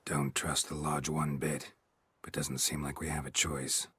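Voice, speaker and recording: A man with an American accent playing a gruff, stoic, terse monster hunter in a fantasy video game. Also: level tone, measured pace, a bit bright, no room sound, exaggerated voice. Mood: gruff, guarded. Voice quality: very deep, husky, gravelly voice. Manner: terse, dropped words, understated. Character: gruff, stoic middle-aged monster hunter, protective mentor. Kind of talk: video game cutscene acting.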